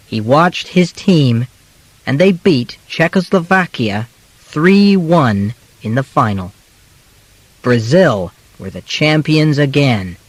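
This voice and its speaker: A man narrates a simple audiobook at an unhurried pace, breaking sentences into short, careful phrases. His mid-pitched voice moves with animated intonation. The sound is close and dry, with no room around it. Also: no echo, low-quality recording. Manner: measured, clearly phrased in short segments. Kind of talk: scripted narration of a simplified biography for learners.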